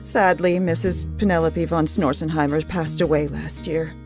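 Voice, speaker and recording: A woman acting a quirky, philosophical character in a scripted drama, slightly low in voice. She is droll and matter-of-fact, with a wistful touch, music playing behind. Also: fairly even intonation, normal speed. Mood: wry, wistful.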